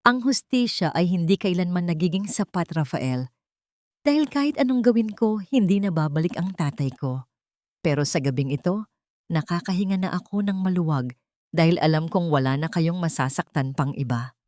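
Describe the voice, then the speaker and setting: A woman's voice: lowish voice, expressive, normal speed, darkish tone, no echo, studio quality, close to an everyday voice. A woman voicing a vengeful, wronged heiress who is cold, scornful and commanding in an audiobook drama. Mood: weary.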